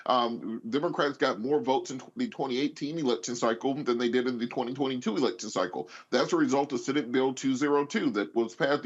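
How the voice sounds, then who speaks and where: Man commentating, slightly dull audio. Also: mid-range voice. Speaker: panelist, political commentator. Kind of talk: unscripted political commentary.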